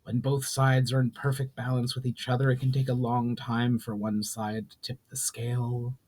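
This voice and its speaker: An ancient sorceress of a fantasy storybook, played by a man, speaks with solemn, pained weariness. The delivery is slow and grave, as if weighed down by long grief. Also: mid-range voice, monotone, a little mellow, lightly acted. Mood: sorrowful, solemn. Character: ancient grieving burning sorceress, solemn and weary.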